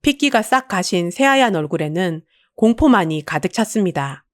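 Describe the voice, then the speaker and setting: A woman's voice: lowish voice, no room sound, clean studio sound. A woman reading a dramatic scripted story as an audiobook narrator.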